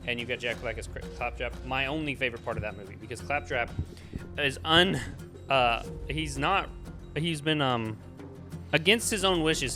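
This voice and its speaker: A man's voice: medium pitch, bright, forceful tone. A man hosting a podcast in a casual movie review chat, exasperated and slipping into mock dialogue. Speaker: podcast host.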